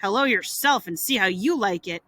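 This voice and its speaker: A woman's voice: mid-range voice, lively intonation, moderate pace, a bit bright. A woman voicing a scruffy, carefree boy in a storybook, talking in a blunt, clipped, casual way. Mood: cocky. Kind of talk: storybook character voice.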